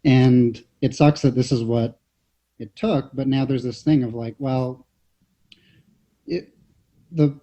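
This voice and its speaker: Man, panelist, mellow voice, analytical, casual discussion, restarts sentences, dull muffled audio. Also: mid-range voice, steady tone, takes it slowly, pauses often.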